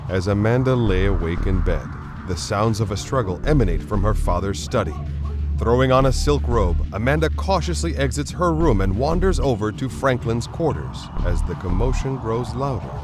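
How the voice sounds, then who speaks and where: A man's voice: lowish voice, expressive, moderate pace, over music. A man narrating a radio play in a scripted, dramatic style.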